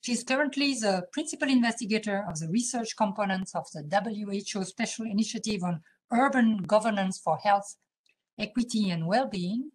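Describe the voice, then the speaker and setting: A woman's voice: medium pitch, slight background noise, poor audio. Female colloquium host reading a formal guest introduction; hesitant, with lots of "uh" fillers.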